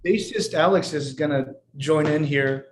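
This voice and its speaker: A man in his twenties from Portland, a band member, chatting in a relaxed, easy way on a video call. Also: on the high side, measured pace. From Portland, Oregon. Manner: relaxed, chatty, laughs easily. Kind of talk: casual interview chat. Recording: roomy video-call audio with faint noise.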